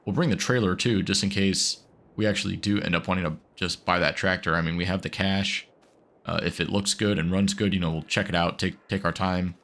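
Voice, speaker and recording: A man's voice: low-pitched, steady tone, a little fast, a bit bright. A man getting over a cold, chatting casually while playing a farming game, rambling with lots of fillers. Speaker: gaming video host. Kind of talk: casual gameplay commentary.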